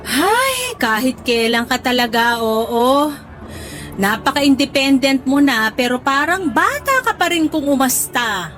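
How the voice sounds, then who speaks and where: A woman acting a doting middle-aged mother in a radio drama, teasing and gently scolding her grown son. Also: high voice, big pitch swings, unhurried, soft background music, full character voice.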